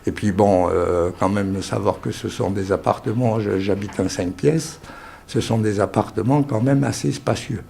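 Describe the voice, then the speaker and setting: Older man from Algeria, interviewee; gravelly, dark voice, halting delivery with many fillers, light background hiss. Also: on the low side. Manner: hesitant, many fillers and restarts. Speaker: interviewee, local resident. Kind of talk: casual spoken testimony.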